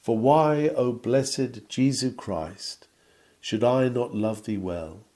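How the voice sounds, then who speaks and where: Guy reading out an old sermon, mellow voice, earnest and measured, kinda oratorical. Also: medium pitch. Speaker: sermon reader. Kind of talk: sermon read aloud, old-fashioned scripted text.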